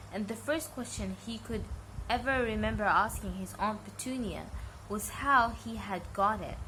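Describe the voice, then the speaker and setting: Woman reading a bedtime story, noisy recording. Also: mid-range voice. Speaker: amateur storybook reader.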